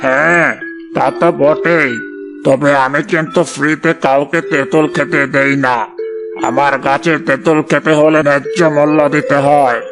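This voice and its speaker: A man's voice: a little high, steady tone, normal speed, close to an everyday voice. A man voicing a greedy, rude village headman in a cartoon, scolding and haughty, over music. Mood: haughty, bargaining. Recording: music behind the voice.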